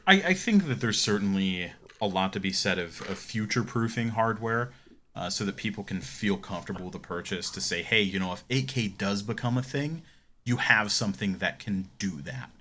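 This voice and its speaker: A man with a fairly low voice that has a clear bright edge. He talks like a podcast co-host, casual and opinionated, laying out his reasoning as he goes. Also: animated, moderate pace, slight background noise. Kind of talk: casual gaming tech discussion.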